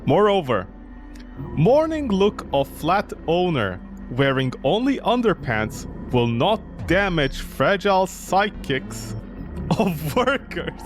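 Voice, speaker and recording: A man's voice: sits high, sing-song, slow pace, soft background music. A man streaming a game, reading its text aloud and reacting with mocking, incredulous commentary.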